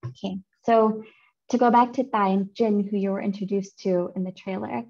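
Woman in her 30s presenting research over a video call, mellow voice, kinda muffled audio, calm and clear. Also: medium pitch. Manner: clear, measured academic delivery. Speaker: seminar speaker, author presenting research. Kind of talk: academic talk over slides. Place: home room.

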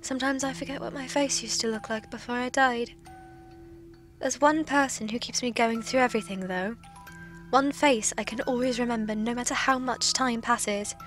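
A woman's voice: a little high, fairly even intonation, normal speed, fairly bright tone, soft background music, natural voice. A woman playing a lonely, forgetful undead woman recording hesitant, intense logs in an audio drama. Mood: lovesick. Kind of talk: audio drama log monologue.

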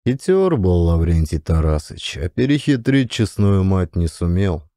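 A man's voice: low-pitched, sing-song, a little slow, dark tone, no room sound, clean studio sound. A man reading classic fiction as a dramatic audiobook narrator.

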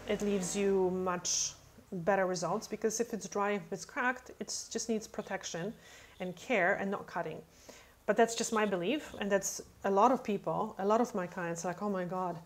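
A woman in her thirties, a nail technician whose first language is not English, talking casually into a webcam and acting out chats with clients, with many fillers. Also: mid-range voice. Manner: chatty, acts out client dialogue, many fillers. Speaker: nail technician answering questions. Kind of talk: casual explanatory talk. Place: indoor room, webcam over screen.

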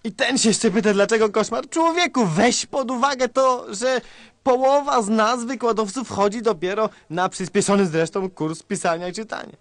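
A man's voice: high-pitched, normal speed, fairly bright tone, dry recording. A man playing an exasperated, sarcastic police colonel in a satirical sketch, theatrical and emphatic, repeating himself. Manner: theatrical, emphatic, repeating words in mock praise. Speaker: sketch performer. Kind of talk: satirical radio sketch acting.

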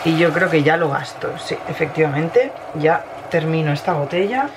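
A woman in her thirties with a Spain Spanish accent, slightly hoarse from a cold, casually narrating a recipe as she cooks in her home kitchen. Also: sits low, lively intonation, normal speed. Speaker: home cooking vlogger. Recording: noisy kitchen with sizzling pan. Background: frying and stirring sounds.

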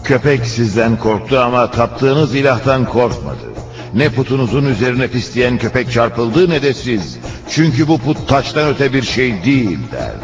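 A man voicing a solemn, devout prophet who preaches and warns in a grave, measured manner in a religious cartoon. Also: medium pitch, lively intonation, natural voice. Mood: preaching. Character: solemn devout prophet warning his people, also narrating. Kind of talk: dramatic religious cartoon voice acting. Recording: dull lo-fi cartoon soundtrack with music.

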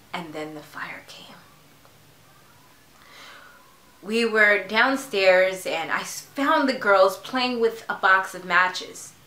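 A woman playing a grieving, defensive mother in a halting, emotional dramatic monologue addressed to a doctor. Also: mid-range voice, pushed and bright. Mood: hushed, haunted. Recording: echoey room.

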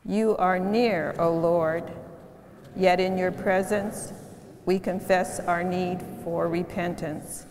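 An older woman leading a church service, giving announcements and praying in a slow, measured way. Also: lowish voice. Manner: slow and measured, solemn in prayer. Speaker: worship leader. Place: large church sanctuary. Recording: long church reverb.

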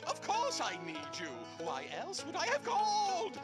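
A woman's voice: extremely high pitch, wildly swooping pitch, moderate pace, heavily acted. A woman voicing a pompous, bossy, easily exasperated chancellor in a fairy-tale video game. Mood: scolding, flustered. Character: fussy, shrill, bossy royal chancellor of fairy-tale castle. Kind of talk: English video game voice acting. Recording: game music loudly behind the voice.

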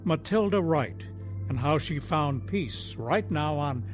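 A man's voice: fairly high pitch, expressive, slow. A man announcing a radio drama in a scripted introduction. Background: loud music.

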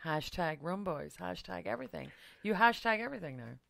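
Donna entusiasta dalla voce roca e bassa. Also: female voice, expressive, brisk, creaky, a bit bright, no echo. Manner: bubbly, laughs often, enthusiastic. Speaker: guest, social media manager. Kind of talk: casual podcast chat. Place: small home studio with foam panels. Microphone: headphones and studio mic close up.

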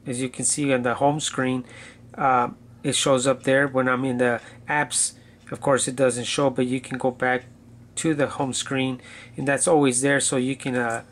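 Casual male gadget reviewer, even pitch. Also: normal speed. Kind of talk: casual unscripted product demo.

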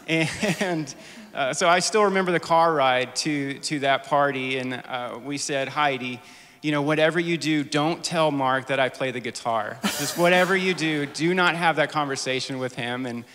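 Joking, halting man telling a story. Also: fairly high pitch, fairly bright tone. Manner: halting, joking, many fillers. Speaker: church band guitarist. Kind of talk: personal story to a congregation. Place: church stage before an audience. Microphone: handheld mic. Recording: long hall reverb.

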